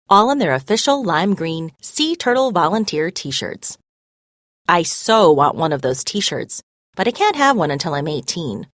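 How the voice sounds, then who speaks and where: A woman narrating a scripted story as a read-aloud, expressive and clearly phrased. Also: lowish voice, no echo, studio quality.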